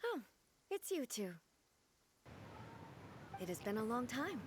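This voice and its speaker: A woman voicing a calm, stately female ruler in a video game, measured and reserved. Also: fairly high pitch, husky, heavily acted.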